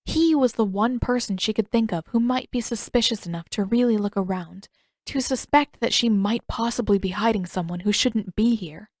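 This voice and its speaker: A woman's voice: medium pitch, moderate pace, darkish tone, dry recording. Woman narrating fiction, very dry sound. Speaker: amateur audiobook narrator.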